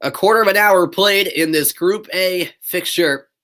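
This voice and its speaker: A man's voice: high-pitched, a little slow, bright, forceful tone. A young man from the northeastern United States with a stuffy nose, calling live play-by-play and chatting casually with viewers. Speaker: play-by-play commentator. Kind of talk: live amateur sports commentary.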